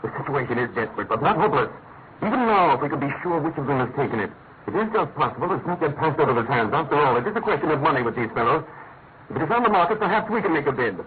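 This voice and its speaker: An actor playing a brilliant, authoritative British detective in a radio drama, speaking incisively and logically. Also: male voice, fairly high pitch, lively intonation, racing, natural voice. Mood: keen, brisk. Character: brilliant, brisk, commanding male consulting detective. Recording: tinny narrow-band radio recording with noise.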